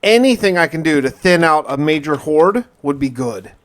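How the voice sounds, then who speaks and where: Male gamer-narrator, slightly high voice, diary-style survival recap, casual yet dramatic storytelling with dry asides. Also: animated, normal speed.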